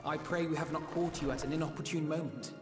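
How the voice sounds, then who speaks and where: A man plays a serious, courteous young scholar in a game cutscene. His voice sits a little high and is formally earnest. It echoes in a big hall over clearly audible music. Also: steady tone, fast, lightly acted. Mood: earnest, polite. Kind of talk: video game cutscene dialogue. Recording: reverberant hall, music behind.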